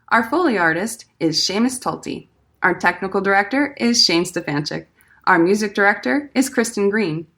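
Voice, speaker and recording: A woman's voice: mid-range voice, fairly bright tone. A woman announcer reading scripted end credits for a radio show.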